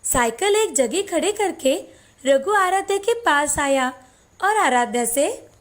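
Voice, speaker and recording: A woman narrating a children's moral story in a steady, expressive scripted reading. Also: high voice, slow pace.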